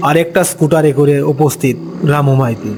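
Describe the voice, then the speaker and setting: Higher-pitched male ghost-story narrator. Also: brisk, noisy background.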